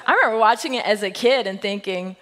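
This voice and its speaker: A woman in her thirties, a senior pastor with a husky voice, giving a playful, joke-filled sermon to her congregation. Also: mid-range voice, fast talker. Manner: playful storytelling, self-deprecating jokes, lively quoted voices. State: husky voice today. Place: church sanctuary. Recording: long reverb of a large hall.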